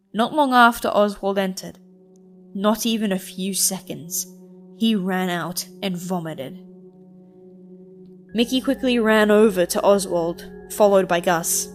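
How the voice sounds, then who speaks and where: Woman narrating a story over music. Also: mid-range voice. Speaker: fan fiction narrator.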